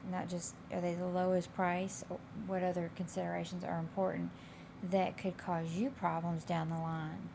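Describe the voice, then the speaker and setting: A woman instructor with a slightly raspy, hoarse voice, explaining coursework in a casual, loosely phrased, conversational way. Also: lowish voice, little pitch movement, moderate pace, hardly any pauses. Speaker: college instructor. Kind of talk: informal explanation of course assignments. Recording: clear background noise.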